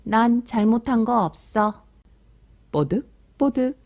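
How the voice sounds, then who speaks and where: Casting: a woman playing an earnest little storybook hand, defensive, with a soft, mellow tone. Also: a little high, expressive, slow pace, voice pushed up. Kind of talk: children's picture book read-aloud.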